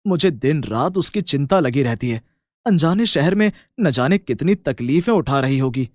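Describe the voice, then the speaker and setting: A man's voice: a little high, lively intonation, moderate pace, darkish tone, no room sound, studio quality, close to an everyday voice. A man playing a humble village farmer and caring elder brother, earnest, fretful and affectionate, in a storybook tale. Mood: worried.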